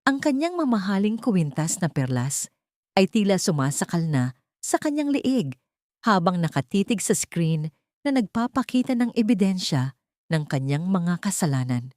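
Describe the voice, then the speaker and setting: Low-voiced woman narrating a dramatic story. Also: big pitch swings, darkish tone, no room sound, clean studio sound. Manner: deliberate, suspenseful, theatrical delivery.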